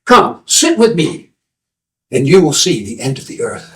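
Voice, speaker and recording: A man voicing an old wise hermit seer in a told folk tale, cryptic, with a halting, drawn-out delivery, in a roomy, distant recording. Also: fairly high pitch, big pitch swings. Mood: serene. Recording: roomy echo, distant microphone.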